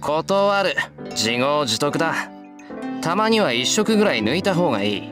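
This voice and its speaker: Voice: medium pitch, sing-song, brisk, over music, close to an everyday voice. An actor playing a blunt, exasperated but kind teenage boy in a Japanese visual novel, with a dry, grumbling delivery.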